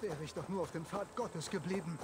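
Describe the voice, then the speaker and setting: Man acting a high-pitched, nervous, pompous monk. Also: fairly quick, background noise, lightly acted. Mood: anxious, self-deprecating. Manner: stutters when nervous, blustering. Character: nervous, boastful monk posing as a pompous thane. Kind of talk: dramatic video game dialogue.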